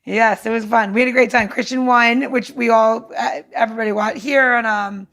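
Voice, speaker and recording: Woman, podcast co-host, highish pitch, heavy vocal fry, blunt, joking, casual. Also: fairly even intonation, normal speed, a bit bright. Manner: blunt, joking, salty-tongued. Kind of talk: casual chat.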